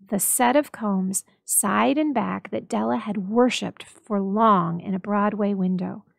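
A woman narrating a story dramatically, with expressive, measured storytelling. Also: mid-range voice, gravelly, no echo, studio quality. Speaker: library staff story reader.

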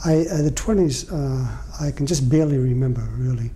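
A man's voice: medium pitch, brisk, mellow, a little hiss or hum. A man in his seventies, born in Portugal, answering questions in an oral history interview, hesitant with many fillers and restarts. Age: 70s. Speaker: interviewee, veteran. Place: library room.

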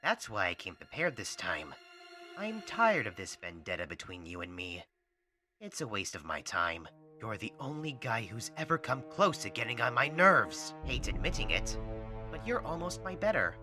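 A man's voice: fairly low pitch, big pitch swings, moderate pace. A man voicing a cocky, murderous assassin villain in a comic dub, mocking and wisecracking with theatrical menace. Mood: cocky, menacing. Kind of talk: comic book dub voice acting. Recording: music behind.

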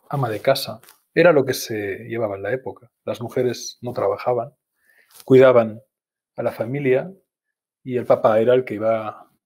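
A man in his fifties with a Spanish accent speaks in a slightly husky voice over an earphone mic on a video call. He reflects calmly and slowly on his family, pausing and restarting words. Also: medium pitch. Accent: Spanish from Spain. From Spain. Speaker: guest. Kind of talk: reflective personal storytelling. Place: home study with bookshelves.

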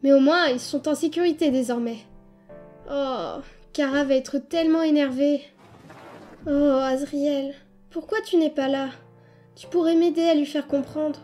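A woman's voice: high voice, steady tone, a little slow, mellow, faint music behind. A woman voicing an anxious, kind-hearted, determined young child in a French comic dub, earnest and emotional. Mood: worried, resolute.

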